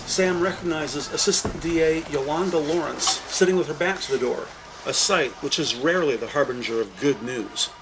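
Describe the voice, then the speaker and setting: A man narrating a crime audio drama with scripted, descriptive storytelling in a deliberate, literary manner. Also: on the high side, noisy background.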